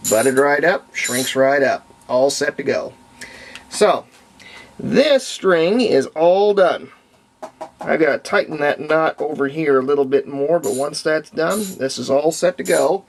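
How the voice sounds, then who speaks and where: A man giving a casual hands-on tutorial in a folksy, unhurried way, thinking aloud as he works, with a gravelly voice. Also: fairly high pitch, lively intonation. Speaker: radio repair hobbyist demonstrating. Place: indoors at home, hands-on at workbench. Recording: faint background noise, home workshop.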